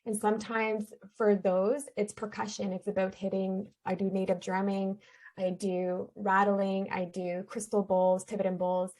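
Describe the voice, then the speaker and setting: A woman's voice: mid-range voice, little pitch movement, unhurried, a little mellow, rough recording. A woman from Canada, a guest on an interview podcast, earnestly explaining her spiritual healing practice in a conversational way. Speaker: interview guest, shaman and energy healer.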